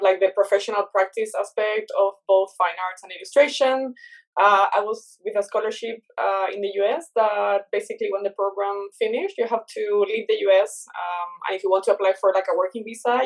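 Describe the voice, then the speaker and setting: A woman in her thirties speaking English with a Chilean Spanish accent. She is a guest in an interview, and she talks in a thoughtful, halting way with many fillers and self-corrections. Her pitch sits in the middle of the usual range for a woman. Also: rough recording. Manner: thoughtful, many fillers and restarts, laughs often. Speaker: guest artist. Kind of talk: casual interview chat.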